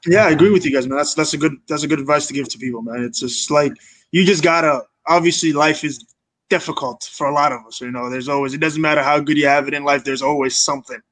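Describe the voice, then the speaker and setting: A young man from Kenya with a fairly high voice, talking as a guest in a casual interview. He is earnest and informal, and the sound is close and dry. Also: steady tone, fast talker, a bit bright, dry recording, poor audio. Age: young adult. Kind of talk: casual chat.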